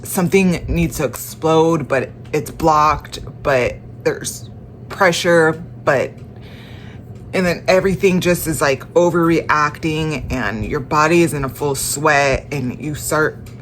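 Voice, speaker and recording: A young woman with a stuffy, congested voice, talking in a casual personal vlog, halting and tired, with many restarts and fillers. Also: deep voice, slight background noise. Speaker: vlogger.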